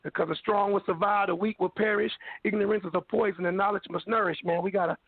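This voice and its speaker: A man from Kansas City, Missouri, with a hoarse, scratchy voice, chatting laid-back and slangy as a guest rapper. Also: sits high, fairly even intonation, quick pace, no echo. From Kansas City, Missouri, USA. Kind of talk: casual interview chat. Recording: dry tinny phone line.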